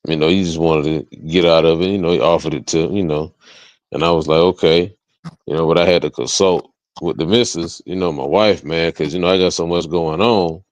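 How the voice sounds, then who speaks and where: Low-voiced American man, echoey lo-fi sound. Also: fairly even intonation, fairly quick. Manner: slangy, peppers talk with "you know" and "man". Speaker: interview guest, music artist and entrepreneur. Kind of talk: casual chat. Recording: dull lo-fi audio, roomy echo.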